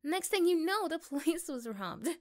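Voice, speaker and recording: A woman playing an affectionate, reassuring young girlfriend in a soft, gentle ASMR roleplay. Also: very high voice, big pitch swings, measured pace, heavily acted. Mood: storytelling. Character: affectionate, reassuring young girlfriend chatting intimately to her partner.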